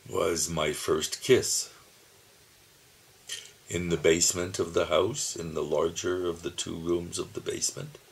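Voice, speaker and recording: A man in his seventies, reminiscing slowly about his childhood, pausing often with soft chuckles, who calls his own voice dull. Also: low-pitched, creaky, a little hiss or hum. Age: 70s. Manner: slow, musing, with soft chuckles and pauses. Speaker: video diarist. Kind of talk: reflective personal reminiscing. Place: home, seated at his computer. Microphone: computer camera mic.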